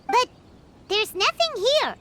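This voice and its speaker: A woman voicing a small, excitable, childlike fairy companion in a video game, with a squeaky voice and jumpy, exclamatory manner. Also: takes it slowly, slight background noise, full character voice. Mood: puzzled. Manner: speaks of herself in third person, exclamatory.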